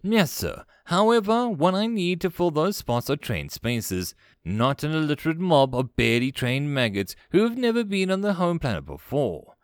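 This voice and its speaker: A man's voice: on the high side, big pitch swings, normal speed, clear, resonant tone, no echo, studio quality, pitched above the performer's own voice. A man voicing a practical alien first officer in a sci-fi story, polite to his superior but dryly pointed. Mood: firm, practical. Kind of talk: sci-fi audio story character.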